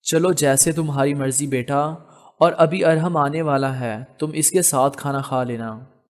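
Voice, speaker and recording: A man reading as a fatherly, unhurried older uncle. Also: a little high, steady tone, lightly acted. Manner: fatherly, decisive. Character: genial family patriarch, affectionate and decisive older uncle. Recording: long hall reverb on voice.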